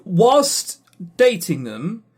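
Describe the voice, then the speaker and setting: Southern English man, podcast co-host, very lively swooping intonation, fairly high voice, blunt, emphatic, casual. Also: drawn-out words, husky. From Brighton, England. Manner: blunt, sweary, emphatic repetition. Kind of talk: casual opinionated podcast chat.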